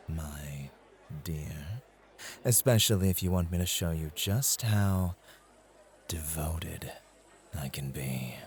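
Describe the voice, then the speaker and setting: A suave club host acted by a man speaks low and very unhurriedly, with pitch that moves around a lot. He is flirtatious and possessive, teasing and intimate, in the style of an ASMR roleplay. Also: slightly rough, full character voice. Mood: seductive. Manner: teasing, flirtatious, intimate address with drawn-out phrasing.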